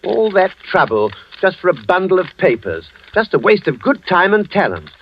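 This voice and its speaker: A man's voice: high-pitched, sing-song, normal speed, full character voice. An actor playing a nervous, quipping male manservant in a 1940s radio drama, fussy and formal. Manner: flustered, comic, clipped formal speech. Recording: dull lo-fi old radio recording.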